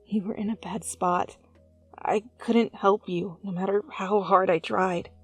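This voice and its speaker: A woman voicing a tender, grieving young character who rarely speaks, breathy and fragile, in an ASMR fan fiction. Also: medium pitch, fairly even intonation, measured pace, dry recording, faint music behind. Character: grieving, usually mute young listener, tender and wistful.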